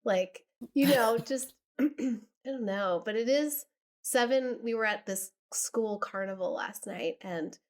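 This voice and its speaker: A woman's voice: a little high, slow. A woman in her early forties co-hosting a casual chat, telling stories in an informal, rambling way. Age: 40s. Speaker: podcast host.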